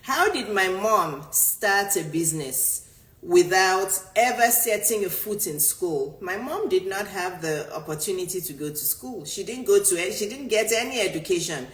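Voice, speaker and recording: A woman's voice: medium pitch, animated, normal speed, fairly bright tone. A middle-aged woman business coach from Nigeria, a native Yoruba speaker, giving an emphatic motivational talk in which she repeats her points for stress. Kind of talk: motivational talk answering a viewer. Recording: roomy echo.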